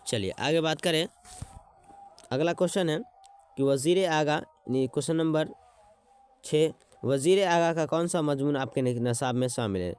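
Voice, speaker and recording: A man teaching an exam-prep lesson, repeating words slowly as he writes them out and checking in with his students as he goes. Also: a little high, a little mellow, clear, resonant tone. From Bihar.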